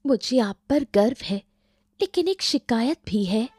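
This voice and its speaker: Woman playing an earnest, worried young village sister, unhurried. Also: fairly high pitch, expressive, breathy edge, no room sound, clean studio sound, faint music behind, pitched above the performer's own voice. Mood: worried, reproachful. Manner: earnest, gently scolding.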